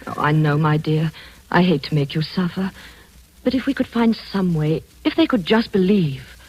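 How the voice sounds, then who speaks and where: Genteel radio drama lady, soothing n coaxing, husky, muffled old recording. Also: fairly low pitch, moderate pace, a little mellow, no echo. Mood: gentle probing. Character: genteel, soothing, gently probing lady family friend. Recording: dull old radio recording, faint music.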